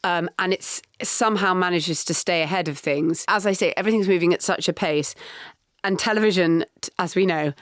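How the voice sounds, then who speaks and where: A woman, co-hosting a podcast, chatting casually with quick, wry remarks. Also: fairly low pitch, dry recording, studio quality. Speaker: podcast co-host. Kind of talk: casual chat.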